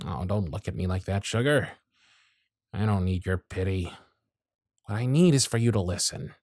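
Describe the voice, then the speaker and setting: A man voicing a jaded, century-old male workshop supervisor who is gruff, weary, sardonic and blunt, in an ASMR roleplay with close miking. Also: deep voice, animated, normal speed, darkish tone, no room sound. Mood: weary, stern. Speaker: voice actor in audio roleplay.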